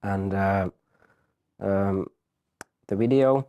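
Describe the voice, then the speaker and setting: A man in his thirties, a university teacher, explaining a study program in a halting way, often repeating words. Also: low voice, mellow. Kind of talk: explaining in an interview.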